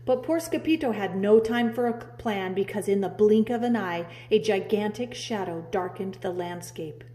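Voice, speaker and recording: A woman's voice: medium pitch, moderate pace, warm tone, slight background noise. A woman reading a children's storybook aloud like a preschool teacher, dramatically drawing out key words. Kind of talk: expressive children's storybook narration.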